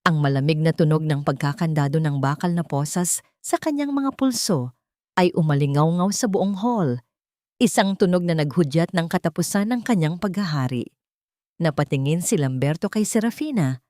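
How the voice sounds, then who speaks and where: A woman's voice: sits low, animated, normal speed, darkish tone, dry recording, clean studio sound. A woman narrating a scripted story in a solemn, melodramatic manner.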